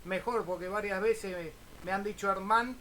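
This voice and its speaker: A man's voice: high voice, steady tone, normal speed, a bit bright. A man with a Buenos Aires Spanish accent, chatting casually as an amateur radio operator. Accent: Buenos Aires Argentine Spanish. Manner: spells call signs in phonetic alphabet. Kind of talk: casual ham radio contact. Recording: faint noise behind voice.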